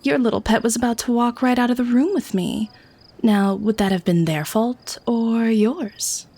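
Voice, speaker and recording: Sly, mellow-voiced lady vampire played by an actress. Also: a little high, normal speed. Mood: amused, teasing. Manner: coaxing, silky, genteel, mock-sweet. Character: sly, teasing, predatory lady vampire feigning harmless friendliness. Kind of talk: scripted ASMR audio roleplay.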